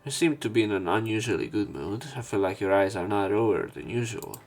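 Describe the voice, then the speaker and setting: Man acting evasive high school boy, visual novel dialogue, creaky voice, faint music. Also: fairly quick, a little mellow. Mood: curious. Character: flustered, evasive but friendly high school boy protagonist.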